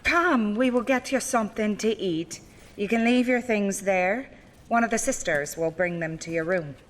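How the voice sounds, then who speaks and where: A woman playing a calm, devout convent nun in a horror audio drama, speaking in a measured, formal way with grave insistence and dry wit. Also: medium pitch, lightly acted. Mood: courteous.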